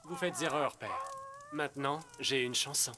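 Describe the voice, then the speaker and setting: A man's voice: mid-range voice, normal speed, noisy background, lightly acted. A young man playing a kind, polite, curious prince in a French cartoon dub. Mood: firm.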